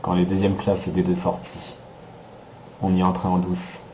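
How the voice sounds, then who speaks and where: Un homme jouant en français un guerrier de jeu vidéo sévère, distant et sûr de lui, au ton sec et retenu. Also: male voice, sits low, completely flat delivery, normal speed, darkish tone, slight background noise. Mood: sombre, restrained. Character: stern, aloof veteran male warrior, coolly confident. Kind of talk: French fan dub of a video game.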